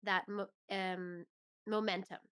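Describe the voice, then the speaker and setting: A woman's voice: medium pitch, little pitch movement, slow, a bit bright, clear, resonant tone. A woman speaking as a guest in a casual interview, thoughtful and self-reflective. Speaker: interview guest, musician and actor.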